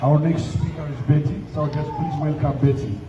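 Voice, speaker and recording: A man's voice: a little high, steady tone, normal speed, background noise. Man emceeing an outdoor rally. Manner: announcing, welcoming. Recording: distant, echoey, dull recording with crowd.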